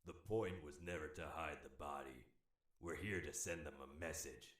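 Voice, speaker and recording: A cold, zealous assassin for an audio drama. His voice is very deep and carries a roomy echo. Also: normal speed, a bit bright. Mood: cold, resolute.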